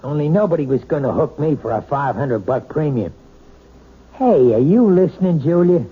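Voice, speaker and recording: A man's voice: fairly high pitch, lively intonation, moderate pace, breathy edge. An actor playing a contemptuous, bullying husband in a 1970s radio drama, hectoring his wife. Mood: grumbling. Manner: sneering, hectoring. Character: bullying middle-aged husband, contemptuous and overbearing. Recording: dull lo-fi old radio recording.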